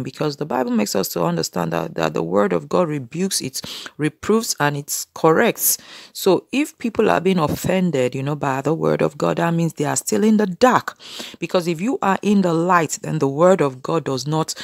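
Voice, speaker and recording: A woman's voice: on the low side, gravelly, darkish tone, no room sound, clean studio sound. A woman in her thirties preaching casually to camera from a small room, earnest and exhorting, with many "you know" fillers.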